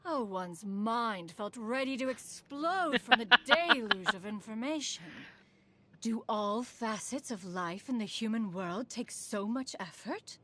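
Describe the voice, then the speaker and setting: A stately immortal crane woman; lively melodic delivery, slightly high, game soundtrack under. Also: takes it slowly, a little hiss or hum, heavily acted. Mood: formal, bemused. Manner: formal, refers to herself as "one". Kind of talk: game cutscene voice acting.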